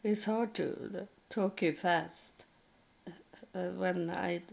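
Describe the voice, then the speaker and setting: Slow, creaky Swedish woman speaking English. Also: fairly low pitch, steady tone, a little mellow. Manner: halting, searching for words, many restarts. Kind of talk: slow personal spiritual monologue.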